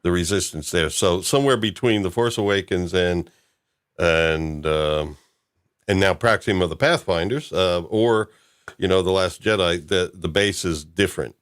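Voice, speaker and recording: Deep-voiced man hosting a casual podcast. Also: normal speed, clean tone. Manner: chuckles while talking. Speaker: podcast host. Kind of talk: casual chat about a fan audio drama.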